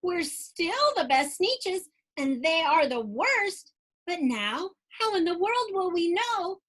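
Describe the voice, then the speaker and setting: A woman plays a snooty storybook creature with a high, swooping voice. It brags haughtily and indignantly, drawing out its words. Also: sing-song, slow, a bit bright, full character voice.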